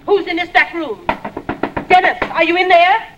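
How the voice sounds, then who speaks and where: An actress playing a loud, bossy, scolding battle-axe mother in an old 1940s radio comedy. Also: very high-pitched, fairly even intonation, normal speed, lo-fi sound, over loud music. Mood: imperious searching. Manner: bossy, scolding, calling out imperiously. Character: loud, domineering battle-axe mother hunting her son. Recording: noisy old radio recording.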